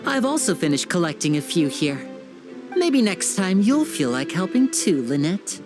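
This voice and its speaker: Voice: medium pitch, sing-song, normal speed, over music, close to an everyday voice. A voice actor playing a polite, showy young stage magician in a game cutscene dub. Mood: gentle. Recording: game score underneath.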